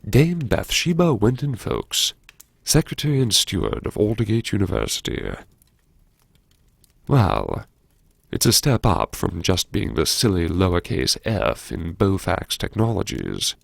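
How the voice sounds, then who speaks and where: Gravelly, low, brooding man acting a wry academic. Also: wildly swooping pitch, no echo, exaggerated voice. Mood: wry, fond. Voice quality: gravelly, creaky. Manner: sardonic, musing, self-reproachful, with sighs and pauses. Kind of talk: first-person audiobook monologue, intimate dramatic narration.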